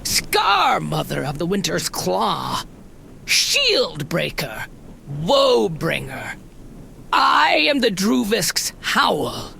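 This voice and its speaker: Fierce, gravelly warrior chieftain woman. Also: lowish voice, wildly swooping pitch, extremely slow, sharp tone, slight background noise, heavily acted. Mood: proud, fierce. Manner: proud, declamatory, roaring commands. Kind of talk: dramatic audio drama acting.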